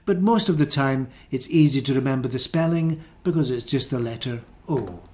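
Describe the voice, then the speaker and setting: A man with a Scottish accent teaching an English pronunciation lesson, saying each model word slowly and carefully. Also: mid-range voice, mellow. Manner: slow, careful, drawn-out model words.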